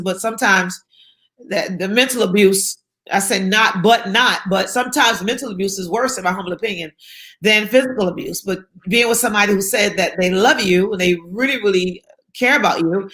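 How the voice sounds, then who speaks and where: A woman's voice: mid-range voice, a bit bright. A woman from South Carolina, speaking as an interview guest in an emphatic, encouraging way and repeating her key phrases for effect. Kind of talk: motivational interview talk.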